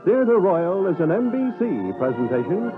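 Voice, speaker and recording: Lively male vintage radio announcer. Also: high-pitched, moderate pace. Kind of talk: scripted 1950s radio credits announcement. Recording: muffled vintage radio, music behind.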